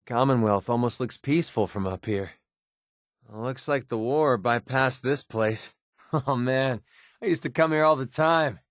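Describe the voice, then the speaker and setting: An actor playing a cynical but easygoing young mercenary sniper in a video game, with an American accent and a casual, sarcastic manner. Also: male voice, mid-range voice, unhurried, no echo, studio quality, close to an everyday voice. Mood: casual, dry. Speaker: video game companion character. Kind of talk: in-game companion remarks.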